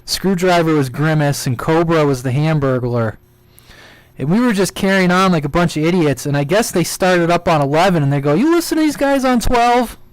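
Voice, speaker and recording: Higher-voiced, clear-toned man chatting casually. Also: lively intonation, fairly quick, no room sound, poor audio. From United States. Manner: jokey, rambling storyteller. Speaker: CB radio live stream host. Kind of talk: casual chat.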